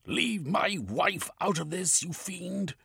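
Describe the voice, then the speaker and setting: A man voicing an indignant, formal male gentleman defending his wife. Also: medium pitch, huge pitch swings, takes it slowly, creaky, breathy edge, no echo, clean studio sound, pitched above the performer's own voice, exaggerated voice. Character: proper male British gentleman, gallant husband.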